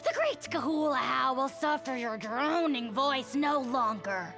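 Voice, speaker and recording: Casting: gravelly, high-pitched arrogant dragon spirit, drawn-out grand delivery. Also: male voice, big pitch swings, heavily acted. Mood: scornful. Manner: grandiose, scornful, speaks of himself in third person. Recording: game audio with clear music underneath.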